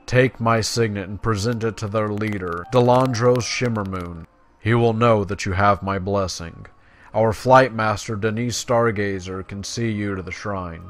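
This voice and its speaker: Guy with lowish voice doing game commentary, reads the quest text out, casual. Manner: casual asides between plain, measured read-outs. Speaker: gaming video creator. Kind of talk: gameplay commentary, reading quest text aloud.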